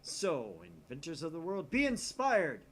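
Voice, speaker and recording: A man voicing a grandiose, excitable young mad scientist with a pompous, declamatory delivery in a visual novel. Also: on the high side, unhurried, pitched above the performer's own voice, exaggerated voice. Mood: grandiose, boastful.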